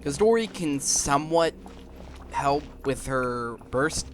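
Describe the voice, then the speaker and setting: A man's voice: on the high side, lively intonation, slow pace, a little hiss or hum. A man giving casual gameplay commentary, rambling and thinking aloud as he hosts. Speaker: gaming video host. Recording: game music behind the voice. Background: video game music.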